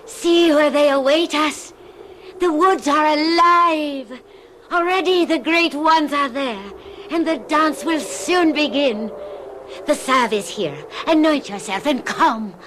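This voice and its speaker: Very high-pitched, slow-chanting seductive witch. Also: noisy background. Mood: entranced, beckoning. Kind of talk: old-time radio horror acting.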